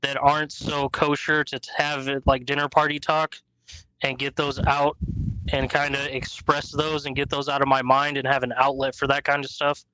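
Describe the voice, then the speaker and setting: A man's voice: medium pitch, completely flat delivery, moderate pace, sharp tone, clean tone, no echo, rough recording. A man in his thirties, a guest podcast host chatting casually and easily about his show. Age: 30s. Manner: easygoing, chatty, storytelling.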